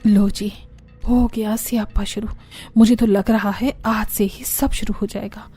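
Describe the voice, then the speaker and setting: A woman's voice: mid-range voice, little pitch movement, a little slow, dark tone, breathy edge, faint music behind. A woman voicing a weary, evasive elder daughter-in-law in a cartoon story, grumbling and placating by turns. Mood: dread, grumbling.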